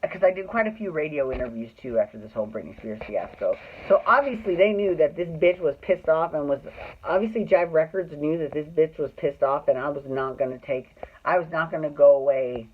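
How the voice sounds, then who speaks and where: A man's voice: on the high side, lively intonation, fairly quick, a little hiss or hum. A man telling a personal story casually, with a sassy, emphatic manner that slips into acted-out dialogue. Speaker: drag performer telling stories. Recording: thin phone-like sound.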